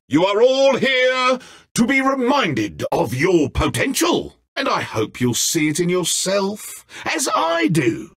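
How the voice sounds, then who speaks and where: A flamboyant, godlike game villain, with a clean, smooth voice that swings wildly from low to high. He draws his words out slowly, mock-friendly and condescending, full of petty ego. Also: bright, forceful tone, no echo, studio quality, exaggerated voice. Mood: grandly encouraging. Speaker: video game voice actor. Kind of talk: theatrical video game character acting.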